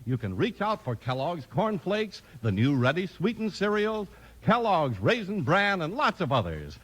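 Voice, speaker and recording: A man's voice: sits high, very animated, moderate pace, fairly bright tone, breathy edge. A man announcing and reading sponsor copy in a punchy, emphatic 1950s radio style. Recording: vintage 1950s radio broadcast. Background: music.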